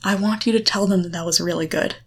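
Woman doing guided visualization, normal pitch, short deliberate lines, reassuring and instructive. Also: steady tone. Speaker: guide leading a guided erotic confidence exercise. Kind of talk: guided visualization, second-person coaching.

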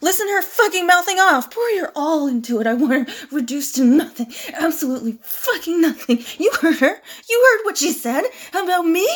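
A woman's voice: fairly high pitch, lively intonation, normal speed, a little mellow, breathy edge. A woman playing a volatile, domineering, vengeful villainess in a dramatic audio roleplay. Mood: furious, commanding.

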